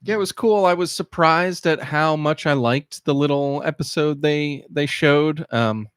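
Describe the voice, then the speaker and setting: A man's voice: on the high side, unhurried, clean tone, no echo, studio quality. A man co-hosting a video game podcast, chatting casually and rambling with lots of fillers and restarts. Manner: rambling, hesitant with many fillers. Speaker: podcast co-host. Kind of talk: casual chat.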